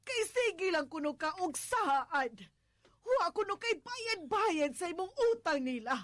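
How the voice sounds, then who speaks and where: A woman playing an anxious, flustered motherly figure in a radio drama, agitated and halting, with a Visayan Filipino accent. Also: very high voice, very animated, a bit bright, dry recording, studio quality, exaggerated voice. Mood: alarmed.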